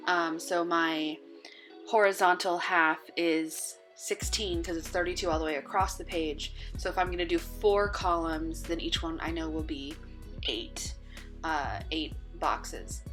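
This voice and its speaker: A woman with a fairly low voice talks loosely through her planner pages, filling pauses with ums and uhs. Her voice is a little worn, as if she is losing it. Faint music plays underneath the voice-over. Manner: relaxed, chatty, with many ums and uhs. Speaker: bullet journal vlogger. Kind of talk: casual voice-over walkthrough.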